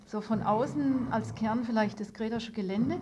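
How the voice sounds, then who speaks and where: Woman, radio host, soft dark tone, tentative informal phrasing, lo-fi sound, faint noise. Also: a little high, moderate pace. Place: radio studio. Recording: dull lo-fi radio tape, noisy.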